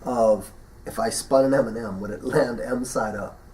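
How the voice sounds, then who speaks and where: A man's voice: mid-range voice, fairly even intonation, normal speed, a little mellow. Sniffly man rambling on a podcast. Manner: rambling, laughs at his own stories, restarts often. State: getting over the flu, sniffling. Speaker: podcast co-host. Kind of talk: casual podcast chat. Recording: voice a bit far from the mic, faint hiss.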